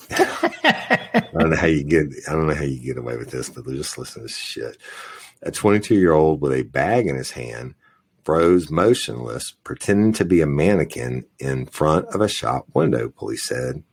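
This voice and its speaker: A man's voice: rumbling low, very animated, normal speed, slightly rough. A man co-hosting a crime news podcast, reading stories aloud with crude, joking asides. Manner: profane, jokey, reads headlines aloud. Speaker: podcast co-host. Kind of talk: casual crime news chat.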